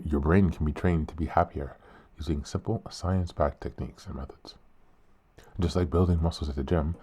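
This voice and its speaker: Podcast host, male, very deep and warm, mildly hoarse; calm, earnest reading. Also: slight background noise. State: hoarse, a bit sick.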